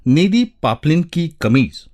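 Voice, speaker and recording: A man narrating a literary story in a dramatic, expressive way, measured and pausing between phrases. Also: a little high.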